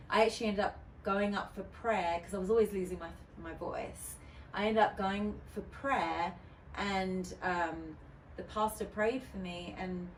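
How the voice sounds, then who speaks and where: A woman, a DJ guest on a podcast, talking candidly and chattily with lots of fillers and restarts. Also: lowish voice. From United Kingdom. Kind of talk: casual podcast interview. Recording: roomy, distant mic with faint noise.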